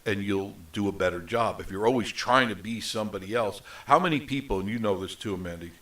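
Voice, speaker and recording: Middle-aged male host, nasal, lowish voice, loose unscripted rambling, set back from the mic. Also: fairly quick, a bit bright, recorded from a distance. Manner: rambling, with many fillers and restarts. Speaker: host of a live travel show. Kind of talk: unscripted casual live chat.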